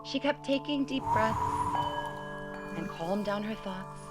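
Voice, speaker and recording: A woman reading a rhyming children's story aloud in an expressive, gentle, deliberate way, with music behind her. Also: medium pitch. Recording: prominent music under the voice.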